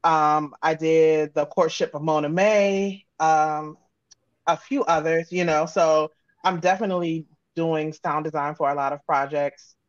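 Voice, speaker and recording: A woman's voice: sits low, fairly even intonation, unhurried, dry recording, highs cut off. A woman with an American accent chatting casually as an interview guest, talking informally in loose, run-on thoughts. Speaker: guest, audio drama creator and sound designer.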